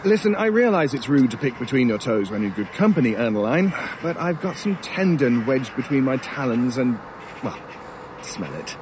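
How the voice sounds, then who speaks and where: A gleefully macabre crow, played by a man, speaks in an audio drama with urbane, mocking courtesy. He is wordy and pompous, with a mid-pitched voice. Clear outdoor noise and cawing sit behind him. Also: very animated, moderate pace, natural voice. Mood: fastidious, amused. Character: urbane, sardonic male talking crow, gleefully macabre scavenger. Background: outdoor ambience, crows, vehicles.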